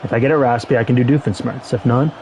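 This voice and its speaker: A young man streaming a football video game, commenting excitedly and talking to the players on screen. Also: mid-range voice, fast talker, a little hiss or hum, poor audio. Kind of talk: live gameplay commentary.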